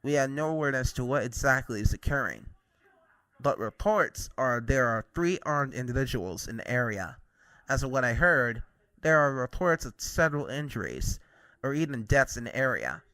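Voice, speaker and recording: A man's voice: mid-range voice, expressive, normal speed, bright, forceful tone, no echo. A man playing a morning TV news anchor in an amateur drama, with a formal announcer-like delivery. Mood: serious. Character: male morning TV news anchor breaking grave news.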